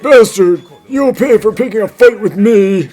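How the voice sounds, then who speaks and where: A woman playing a bullying but cowardly city slicker villain in an animated fan dub, sneering and easily flustered. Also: medium pitch, a little slow, soft background music, close to an everyday voice. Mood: angry.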